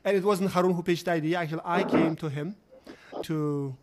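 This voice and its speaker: A man hosting his podcast, welcoming a guest with loose, informal phrasing and quick questions. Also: on the high side, fairly even intonation, heavy background noise. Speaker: podcast host. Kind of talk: casual interview hosting.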